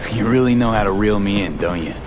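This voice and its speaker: Man as cynical, flirty mercenary for a video game, very deep and dry, score underneath. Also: fast talker, natural voice. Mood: teasing. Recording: music behind the voice.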